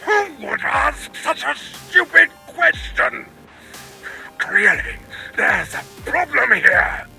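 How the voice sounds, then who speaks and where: A man's voice: very high voice, very animated, slow pace, vocal fry, bright, forceful tone, soft background music, heavily acted. A man voicing a proud, sinister Sith lord in a video game, imperious and grandiose with a menacing manner. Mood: enraged, frustrated.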